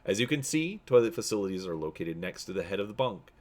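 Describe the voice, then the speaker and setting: Man voicing an officious low-voiced male military officer. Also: big pitch swings, quick pace. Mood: officious, formal. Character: officious, droning Imperial officer guiding a prison tour.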